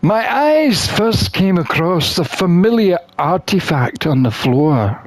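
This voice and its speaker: A gamer reading a visual novel aloud as expressive scripted narration, with theatrical, deliberate phrasing. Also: mid-range voice, no room sound.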